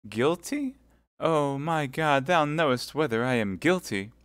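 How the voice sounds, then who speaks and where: A scheming captive noblewoman pleads and prays in a high, quavering voice that breaks toward tears, as a male narrator puts her on. The sound is dry and close, with the lines loud and stretched out. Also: lively intonation, measured pace, clean tone, no echo. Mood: pleading.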